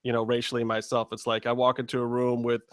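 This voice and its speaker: A man's voice: medium pitch, completely flat delivery, fast, fairly bright tone, no echo, clean studio sound. A man hosting a podcast, interviewing in a casual, chatty style.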